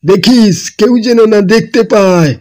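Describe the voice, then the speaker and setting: Sly pond watchman, male actor, cartoon villain: greedy, scheming, very high-pitched. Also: normal speed, a little mellow, no echo, natural voice. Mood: gloating, urgent. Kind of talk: cartoon dialogue.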